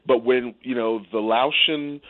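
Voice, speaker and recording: A man's voice: medium pitch, steady tone, measured pace, dry recording. A man giving casual political commentary as a radio guest, talking in a wry, colloquial way. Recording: dry, phone-like narrow sound.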